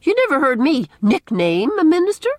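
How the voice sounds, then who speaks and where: Woman acting a stern, opinionated old village matron, high swoops. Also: huge pitch swings, moderate pace, dry recording. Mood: indignant, severe. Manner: emphatic, scolding, old-fashioned country phrasing. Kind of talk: audiobook character dialogue.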